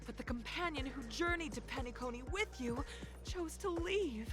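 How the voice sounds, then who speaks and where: A woman's voice: high-pitched, lively intonation, normal speed, over music. A woman playing a playful, grandiose storyteller who narrates a game adventure theatrically. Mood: dramatic, wistful. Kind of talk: dramatic game voice acting.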